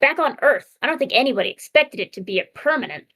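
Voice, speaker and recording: A woman's voice: high voice, lively intonation, fast, a bit bright. A woman voicing a blunt, sardonic male robot medic with a dry, needling manner in an amateur comic dub.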